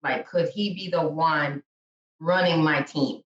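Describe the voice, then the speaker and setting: A woman's voice: deep voice, steady tone, slow pace. A Puerto Rico-born woman whose first language is Spanish, a business owner chatting casually as a guest, full of fillers and restarts. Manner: chatty, many fillers and restarts, laughs often. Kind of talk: casual interview. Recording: echoey, distant, dull call audio.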